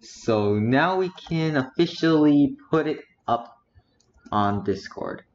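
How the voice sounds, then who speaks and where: A man's voice: fairly high pitch, lively intonation, takes it slowly. A teenage boy streaming, muttering to himself as he browses and reads titles aloud. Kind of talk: casual live-stream commentary. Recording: dull lo-fi audio with faint noise.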